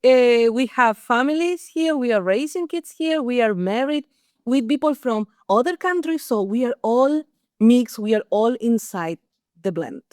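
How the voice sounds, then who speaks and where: A middle-aged woman with a Chilean accent co-hosts a casual podcast. Her voice is on the high side and very clean and smooth. The sound is dry and close, with no room on it. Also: animated, unhurried, a little mellow, no room sound. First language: Spanish. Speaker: podcast co-host. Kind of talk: casual conversational podcast chat.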